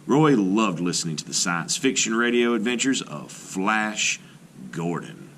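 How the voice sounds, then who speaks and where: A man reading a children's book aloud as an art teacher, in an expressive storytelling style with deliberate pauses. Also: fairly low pitch, gravelly, a little hiss or hum. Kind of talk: scripted narration, children's read-aloud.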